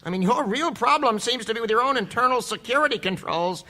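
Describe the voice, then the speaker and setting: Judge, skeptical, measured questioning, radio courtroom drama, very bright, high voice. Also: male voice, animated, heavily acted. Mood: probing. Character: probing, skeptical male judge.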